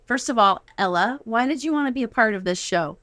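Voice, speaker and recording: Woman, interview host, bright tone, fairly high pitch, excitable, encouraging, upbeat delivery. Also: fairly quick. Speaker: show host interviewing her children.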